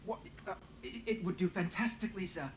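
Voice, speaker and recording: An actor playing an eager, deferential young artisan in a fantasy video game, speaking politely and earnestly. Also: male voice, high voice, a little fast, a little hiss or hum, lightly acted. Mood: nervous, eager. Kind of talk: dramatic video game dialogue.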